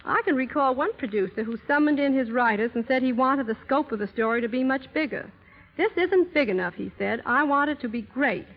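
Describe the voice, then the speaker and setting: A woman speaking as an interview guest in a scripted, deliberate 1930s radio style, on a muffled old broadcast. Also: high voice.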